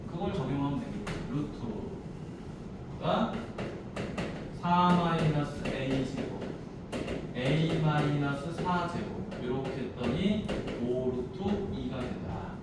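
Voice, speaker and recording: A man's voice: on the high side, takes it slowly. Echoey, noisy recording of a male math teacher. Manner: talks students through steps with frequent check questions. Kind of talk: classroom math lesson with casual chat to students. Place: classroom. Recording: distant mic, echoey noisy classroom.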